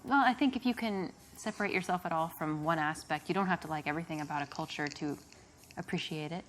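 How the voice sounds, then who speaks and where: A woman's voice: deep voice, fast, close to an everyday voice. An American woman acting out a casual getting-to-know-you conversation in a video game. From United States. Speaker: actress playing a date in an interactive video game. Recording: noticeable background noise.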